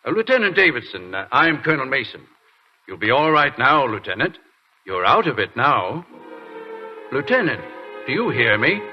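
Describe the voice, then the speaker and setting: An actor playing a courteous, authoritative male army colonel in a 1940s radio drama, speaking reassuringly with hesitant pauses. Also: medium pitch, sing-song, faint music behind, lightly acted. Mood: concerned. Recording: muffled 1940s radio broadcast.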